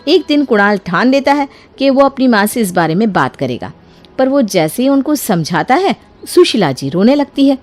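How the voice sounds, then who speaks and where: A woman narrates a scripted bedtime story. Her voice is fairly high and very animated, told expressively. Music plays underneath. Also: normal speed. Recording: music behind the voice.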